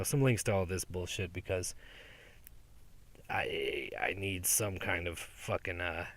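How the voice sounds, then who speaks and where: A man's voice: fairly low pitch, fairly bright tone. A young man rambling sarcastically and profanely in a casual outdoor monologue as a podcast host. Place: outdoors in daylight.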